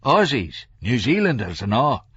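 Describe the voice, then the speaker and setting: Man doing a high, leering Scottish pub chancer. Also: very animated, unhurried, a bit bright, slightly rough, no echo, muffled recording, heavily acted. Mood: conspiratorial, boastful. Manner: conspiratorial, wheedling.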